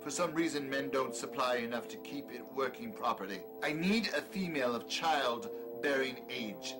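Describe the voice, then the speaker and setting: An actor playing a manic, cruel, grandiose doctor in a horror audio drama, ranting and sneering, swinging from outbursts to mutters. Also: male voice, mid-range voice, expressive, moderate pace, music in the background, lightly acted. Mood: grandiose lecturing.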